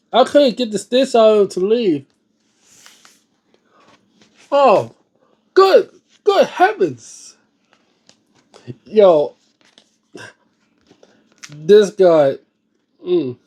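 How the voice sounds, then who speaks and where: A man's voice: sits high, warm tone. A man reading a book aloud in an informal way, stumbling over words here and there and adding casual remarks of his own. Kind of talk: read-aloud narration with casual, off-the-cuff reactions.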